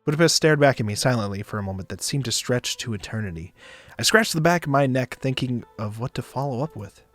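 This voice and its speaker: Male streamer, visual novel narration. Mid-pitched, expressive read, close dry mic with soft music bed. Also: fast talker, dry recording.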